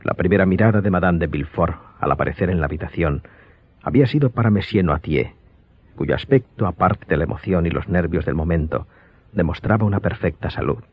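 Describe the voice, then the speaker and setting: A man giving scripted, dramatic audiobook narration in a husky voice. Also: sits low, brisk. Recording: dull lo-fi sound.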